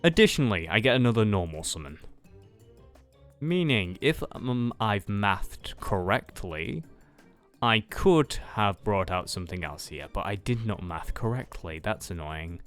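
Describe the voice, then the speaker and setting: Wry, lower-pitched duelist played by a man, lively intonation. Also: unhurried, long pauses. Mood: musing, mildly annoyed. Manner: hesitant, thinking aloud, dryly offhand. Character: casual, wry male card duelist. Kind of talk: improvised Minecraft anime roleplay. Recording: music behind the voice.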